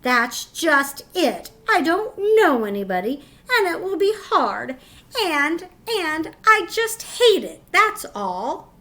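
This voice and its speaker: A woman's voice: sits high, very animated, slow pace, pushed and bright, pitched above the performer's own voice, heavily acted. Woman acting a whiny, reluctant schoolgirl. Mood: whiny, stubborn. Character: reluctant, anxious schoolgirl who hates her new school. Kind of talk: children's picture-book read-aloud.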